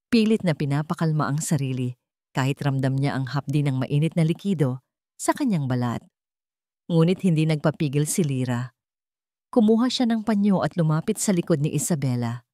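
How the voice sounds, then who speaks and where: Low-pitched woman narrating a drama. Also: expressive, normal speed, warm tone, no echo, clean studio sound. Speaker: story narrator.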